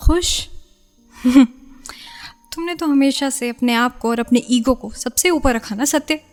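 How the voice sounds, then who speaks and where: Radio play role: married female writer, guarded and hurt, slightly high conversational voice, light music behind. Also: unhurried. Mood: hurt, accusing. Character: married woman novelist, guarded, hurt former lover. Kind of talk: radio drama acting.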